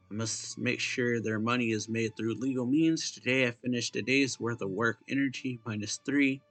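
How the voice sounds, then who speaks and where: Low-key male game streamer. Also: a bit bright. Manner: low-key, self-correcting. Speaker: game streamer reacting to story quest. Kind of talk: casual commentary and reading game text aloud. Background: faint game music.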